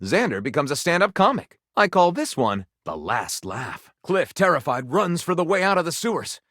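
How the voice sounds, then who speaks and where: An actor playing a theatrical, wry male storyteller in a game, narrating tales dramatically and savouring each title with pauses. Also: fairly high pitch, very animated, a bit bright, dry recording, studio quality. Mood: theatrical, relishing.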